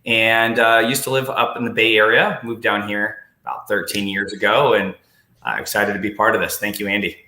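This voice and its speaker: A man's voice: on the low side, steady tone, normal speed, bright, forceful tone. A man introducing himself on a panel from home over a video call, hesitant with many fillers. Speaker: sales executive, panelist. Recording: echoey room.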